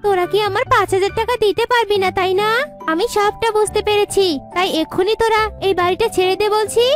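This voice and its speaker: A woman's voice: very high-pitched, fairly even intonation, fast talker. A woman voicing a suspicious, bossy bird auntie in a cartoon, scolding and demanding. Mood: angry, accusing. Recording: music behind the voice.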